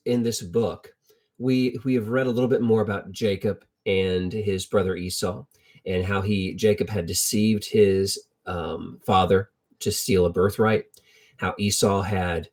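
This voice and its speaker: A middle-aged American man tells Bible stories informally with personal anecdotes, in a halting, reflective way, repeating phrases for emphasis. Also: fairly low pitch, a little mellow, husky. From United States. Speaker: lay Bible storyteller.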